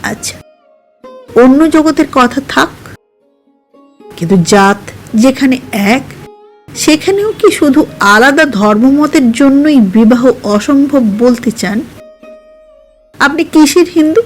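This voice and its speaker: Narrator as a proud, wounded, high-voiced young woman. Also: lively intonation, slow pace, long pauses. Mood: hurt, indignant. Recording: music under the voice.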